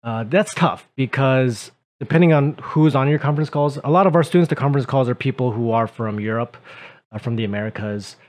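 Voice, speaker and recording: Relaxed chatty man with fillers. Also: mid-range voice, fairly quick. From Taiwan. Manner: relaxed, conversational, with many fillers. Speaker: co-host, English teacher. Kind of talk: casual advice chat.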